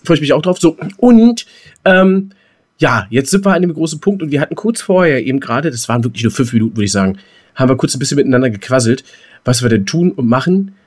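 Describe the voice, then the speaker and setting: A man's voice: medium pitch, animated, fast talker. A man co-hosting a podcast, chatting playfully and casually and often repeating his phrases. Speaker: podcast co-host. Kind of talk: casual banter.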